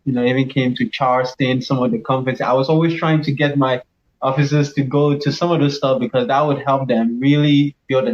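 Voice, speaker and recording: A man's voice: medium pitch, lo-fi sound. A middle-aged pharmacist from Cameroon, a guest in an interview, talks earnestly about his path with many restarts. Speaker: pharmacist and leadership coach, guest. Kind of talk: casual interview answers.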